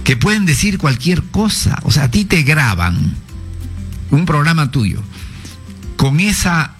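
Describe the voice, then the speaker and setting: An older man, a former education minister speaking as a radio guest, explaining in a measured, professorial way and citing sources. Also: fairly high pitch, big pitch swings, faint music behind.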